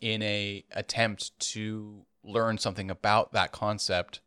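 A man in his thirties co-hosting a podcast, halting and agreeable in casual chat. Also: fairly low pitch, little pitch movement, sharp tone, clear, resonant tone, no room sound, studio quality. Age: 30s. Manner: halting, repeats words, agreeable. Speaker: podcast co-host.

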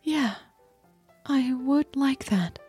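A woman voicing a playful younger girlfriend in a romance audio drama, speaking softly with a teasing, reassuring manner. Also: high voice, very slow, slightly rough, soft background music, voice pushed up, full character voice. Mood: soft, shy. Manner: soft, teasing, affectionate.

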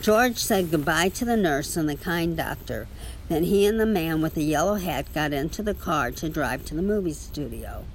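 A woman's voice: low voice, animated, normal speed, slight background noise, poor audio. A woman reading a children's story aloud to a child, with casual asides.

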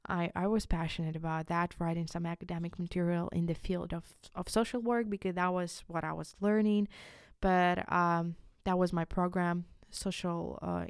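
A young woman from Ukraine, a native Ukrainian speaker with a Ukrainian accent, talks earnestly and a bit haltingly in an informal solo podcast, repeating words for emphasis. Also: on the low side, gravelly, clear, resonant tone, no room sound, clean studio sound. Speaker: podcast host.

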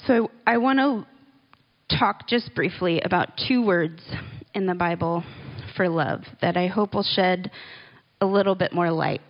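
A woman giving a relaxed, conversational Bible teaching, with small laughs and asides as she explains scripture. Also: fairly low pitch, a little slow, lo-fi sound. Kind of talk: relaxed church teaching talk.

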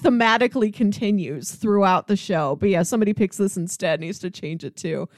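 A woman co-hosting a podcast in casual chat, telling things in an animated way and often laughing as she talks. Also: mid-range voice, fairly quick, no room sound, studio quality. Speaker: podcast co-host.

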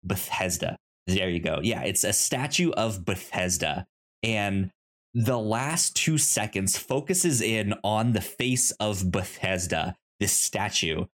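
A man hosting a podcast in a casual, chatty style, sometimes halting and repeating words as he searches for phrasing. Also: lowish voice, expressive, fairly bright tone. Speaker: podcast host.

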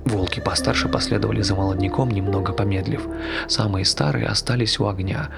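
A man's low, heavily creaky voice tells a scripted folk tale. His delivery is measured and literary, with prominent music underneath. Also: steady tone. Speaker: actor narrating a tale. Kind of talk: scripted storytelling narration of a dark folk tale. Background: loud music.

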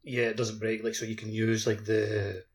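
A man's voice: fairly low pitch, level tone, moderate pace, breathy edge. A man co-hosting a podcast, chatting informally about video games with loose phrasing. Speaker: podcast co-host. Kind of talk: casual chat about a video game.

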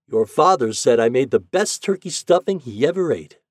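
Old country grandma, as a male reader does her: folksy, fond, encouraging, raised pitch, swooping intonation. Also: wildly swooping pitch, moderate pace, darkish tone, husky, dry recording, studio quality, exaggerated voice. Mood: satisfied. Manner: folksy, homely rural speech.

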